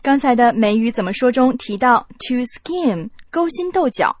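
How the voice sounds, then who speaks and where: Woman co-host: reflective, higher-pitched, dry booth. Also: very animated, moderate pace, darkish tone, dry recording, soft background music. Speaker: radio co-host. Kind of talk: scripted radio chat.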